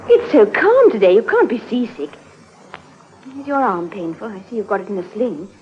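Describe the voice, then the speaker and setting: An actress playing a kindly young village woman in an old radio drama, gentle, sympathetic and insistent. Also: mid-range voice, sing-song, normal speed, lightly acted. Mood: concerned, solicitous. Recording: dull vintage radio recording.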